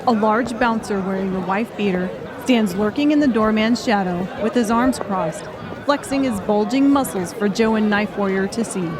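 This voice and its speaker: A woman's voice: mid-range voice, moderate pace, a crowd in the background. A woman reading scripted story narration for an audiobook.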